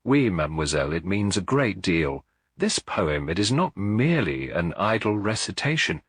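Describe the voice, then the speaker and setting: A man voicing a shrewd, calm Belgian gentleman detective in a mystery audiobook, deliberate, courteous and theatrical. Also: deep voice, breathy edge, dry recording, studio quality, exaggerated voice. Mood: calm, grave.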